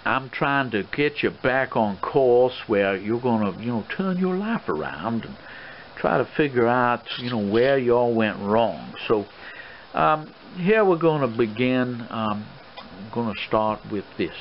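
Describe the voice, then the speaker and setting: Old gentleman colonel played by a man, swashbuckling gambler for ASMR role-play: boastful, paternal, hesitant folksy rambling, dull lo-fi audio with plain background noise. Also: medium pitch, animated, unhurried, natural voice. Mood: folksy, explaining. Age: older.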